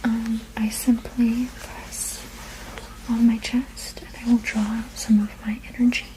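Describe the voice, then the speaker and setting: Softly whispering woman, gentle ASMR roleplay. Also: on the high side, rough recording. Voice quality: breathy unvoiced whisper. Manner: gentle, soothing, reassuring, repeating soft words. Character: gentle, soothing modern witch healer, adult woman. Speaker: ASMR performer.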